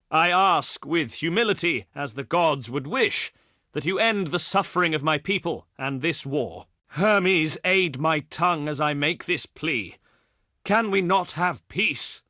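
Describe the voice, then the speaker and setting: Casting: proud Greek envoy for a strategy game, theatrical and oratorical, bright forceful tone, sweeping intonation. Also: male voice, a little high, no echo, studio quality. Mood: humbly pleading. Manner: pompous, oratorical, declaiming in ornate phrases. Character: proud ancient Greek diplomat, eloquent, scornful, theatrical man. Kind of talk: theatrical video game diplomacy voice lines.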